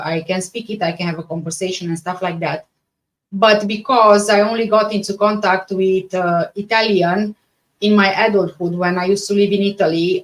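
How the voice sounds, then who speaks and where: A woman from Romania with a Romanian accent chats casually as a livestream host, answering viewers in a halting way that often repeats and rephrases. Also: mid-range voice, a bit bright, poor audio.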